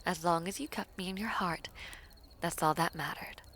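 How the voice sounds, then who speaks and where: A woman playing a devoted, teasing princess in a romantic audio roleplay, speaking formally and coyly with breathy, whispery softness. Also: on the low side, slight background noise, close to an everyday voice. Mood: gently teasing. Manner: slow, formal, coy, often dropping to breathy whispers.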